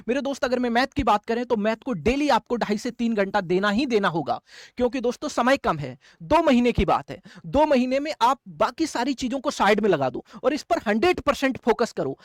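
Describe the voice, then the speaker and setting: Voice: medium pitch, dry recording, clean studio sound. A teacher giving an instructional lecture, addressing students as friends and brothers and often checking that they follow. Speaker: exam-prep teacher.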